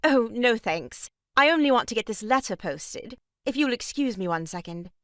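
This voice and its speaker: Prim married lady, animated pitch. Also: normal speed, no echo, clean studio sound. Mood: brisk, composed. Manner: prim, formal, dryly polite. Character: composed, dryly polite married lady, new aunt. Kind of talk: comic stage farce acting.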